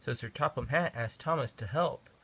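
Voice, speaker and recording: A father reading a children's picture book aloud in an expressive, sing-song way. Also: mid-range voice, normal speed, creaky, husky. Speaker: father reading to his kids.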